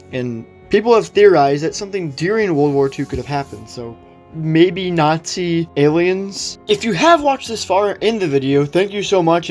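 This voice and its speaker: A man's voice: fairly high pitch, a little mellow. A man hosting a video, giving opinionated, conversational commentary in a casual explainer style. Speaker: video host. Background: background music.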